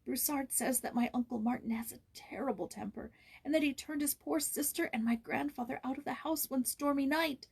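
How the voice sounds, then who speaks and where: A woman's voice: sits high, a little fast, vocal fry, pitched above the performer's own voice. A woman voicing a frightened, mistreated young boy in a storybook, timid, sorrowful and tremulous. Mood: tremulous, dejected. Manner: tremulous, sometimes dropping to a hushed whisper.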